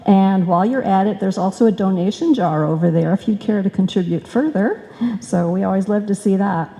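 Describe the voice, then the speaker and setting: Mellow-voiced woman addressing a hall. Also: medium pitch, a little fast, gravelly. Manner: joking, chatty asides. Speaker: director of a radio players group. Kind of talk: informal speech to a live audience. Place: indoor stage in a hall. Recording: reverberant hall, live stage event.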